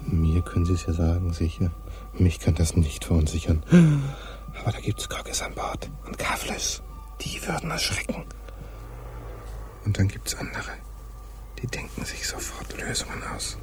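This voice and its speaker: A man's voice: sits low, fairly even intonation, moderate pace, in a whisper, natural voice. An actor playing a loyal, deferential crewman in a radio drama, speaking politely and confidingly. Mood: confiding, reassuring. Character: deferential, discreet male crewman, quietly loyal. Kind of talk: German radio drama acting.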